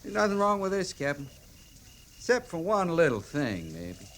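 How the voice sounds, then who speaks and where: A man's voice: a little high, huge pitch swings, moderate pace, fairly bright tone. An actor playing a wry frontier cavalry sergeant in an old time radio drama, drawling his lines with laconic, folksy understatement. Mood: wry, easygoing. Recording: vintage radio recording with noise.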